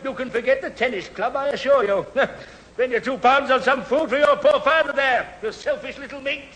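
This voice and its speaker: A man's voice: very high-pitched, fairly quick, fairly bright tone. A man playing a pompous, stammering retired army major with an English accent, bewildered and indignant, in a 1970s radio sitcom. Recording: hissy old radio recording, hall with audience.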